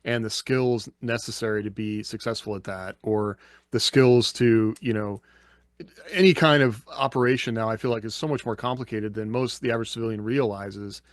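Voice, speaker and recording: A man's voice: on the low side, moderate pace, dry recording. A man on a podcast panel, giving his view in casual, conversational and emphatic talk. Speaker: podcast panelist with army background. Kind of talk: casual opinionated chat.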